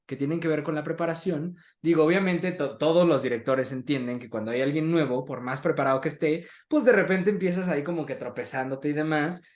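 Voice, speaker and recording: A man's voice: fairly high pitch, brisk, few pauses. A man hosting a conversational interview in a colloquial way, often adding tag questions. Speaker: interviewer, host.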